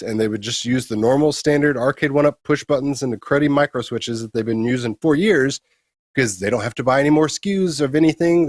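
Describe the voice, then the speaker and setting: A man's voice: mid-range voice, dry recording, studio quality. A man co-hosting a retro gaming show, giving blunt, emphatic opinions in casual talk with some crude slang.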